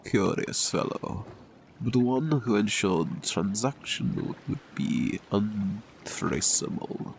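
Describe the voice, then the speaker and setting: An actor in an audio drama playing a pretentious, theatrical crime boss who tells tall tales in grandiose, formal language. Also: male voice, fairly low pitch, expressive, slow, vocal fry, slight background noise, full character voice, low-quality recording. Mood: pompous storytelling. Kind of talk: scripted audio drama acting.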